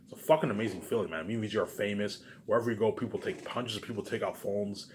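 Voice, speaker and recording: A man giving a rambling, unscripted, repetitive monologue to viewers, emphatic, with loud bursts that drop into muttered asides. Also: fairly low pitch, very fast, background noise. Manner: repetitive, circling back to same phrases. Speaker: online video maker.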